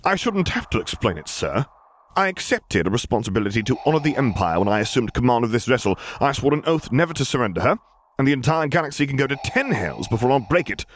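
A man's voice: medium pitch, sing-song, fast, slight background noise, pitched above the performer's own voice, close to an everyday voice. Man playing a defiant, earnest older fleet admiral. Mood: furious, defiant. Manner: formal, emphatic military address. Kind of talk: audiobook character voice.

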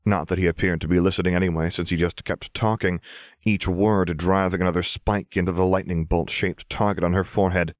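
A man reading a scripted story as narrator, in a measured, expressive way. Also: low voice, no echo, clean studio sound. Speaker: audiobook narrator.

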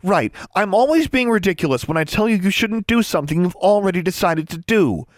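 A man's voice: on the high side, very animated, fairly quick, dry recording, clean studio sound, voice pushed up. A man voicing an exasperated, anxious young schoolgirl who speaks with sarcastic indignation in a storybook. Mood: fuming, sarcastic.

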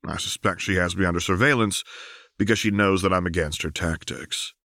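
Man acting weary, strained man of forty, low-voiced. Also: brisk, pushed and bright, no room sound, clean studio sound. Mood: guarded, weary. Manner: strained, earnest, defensive. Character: weary, anguished man of about forty, guarded inventor-councillor.

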